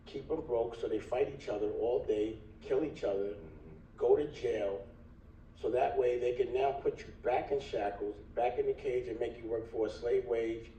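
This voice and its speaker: A man's voice: medium pitch, fairly even intonation, moderate pace, warm tone, slight background noise. A man speaking in a declamatory, preaching way, played from a clip. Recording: roomy and distant.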